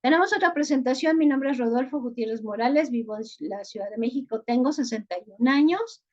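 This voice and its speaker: A woman's voice: high-pitched, brisk. A Mexican woman running an online class, chatty and full of filler words, reading out chat messages. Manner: chatty, frequent "este" fillers. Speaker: online course teacher. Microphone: video call. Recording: muffled lo-fi video-call audio.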